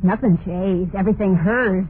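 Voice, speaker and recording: An actress playing a tormented, murderous young wife in a vintage radio horror play, confessing in a brooding, repetitive monologue with plain folksy speech. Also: female voice, mid-range voice, normal speed, phone-quality sound, lightly acted. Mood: bitter, resentful. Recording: noisy, band-limited vintage radio recording.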